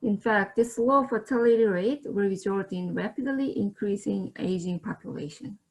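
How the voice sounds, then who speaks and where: A woman's voice: mid-range voice, moderate pace, darkish tone, low-quality recording. A young woman from South Korea giving a slideshow lecture, speaking carefully and steadily with some fillers. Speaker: student presenter.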